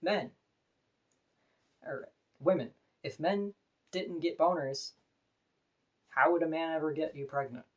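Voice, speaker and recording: Deep-voiced woman, earnest vlog talk. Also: slow pace, pauses often, lo-fi sound. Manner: earnest, opinionated and plain-spoken. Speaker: vlogger. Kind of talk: personal talking-to-camera vlog.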